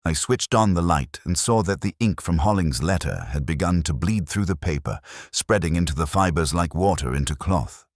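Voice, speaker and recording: A man narrating a first-person detective story in a measured, brooding, literary manner. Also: deep voice, expressive, no echo, clean studio sound. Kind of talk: scripted first-person audio drama narration.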